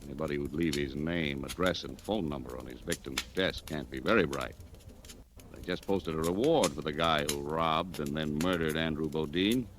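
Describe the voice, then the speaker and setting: Very deep, hard-boiled radio detective. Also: male voice, moderate pace. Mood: scornful, sardonic. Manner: curt, tough, sardonic. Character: hard-boiled, scornful male police detective. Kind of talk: 1940s radio drama acting. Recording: noisy old 1940s radio recording.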